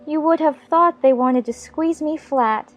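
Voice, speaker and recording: A woman's voice: high-pitched, a little slow. An actress playing a polite but indignant young girl in a storybook dramatization, speaking emphatically. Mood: earnest. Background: music.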